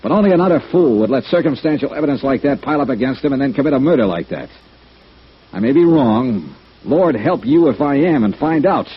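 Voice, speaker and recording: An actor playing a blunt, tough male insurance investigator, probing and brisk, in 1950s radio detective style. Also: mid-range voice, expressive, breathy edge. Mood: stern, probing. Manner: blunt, probing, hard-boiled. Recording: muffled old radio broadcast recording.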